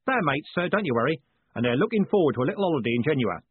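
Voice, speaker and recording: A male reader acts out a cheerful storybook coachman, loyal and a little sly. His voice rides high and husky, eager to please his gentleman passenger. The sound is narrow, like a phone line. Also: sing-song, rapid-fire, no room sound, heavily acted. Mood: proud, cheerful. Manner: folksy, deferential, eager.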